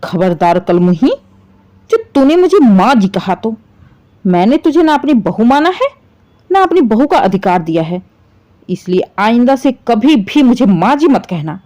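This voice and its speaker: Woman voicing a scornful, domineering mother-in-law, animated pitch. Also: a little slow. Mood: furious. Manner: scolding, sarcastic, contemptuous. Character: bitter, spiteful middle-aged mother-in-law, scornful and domineering.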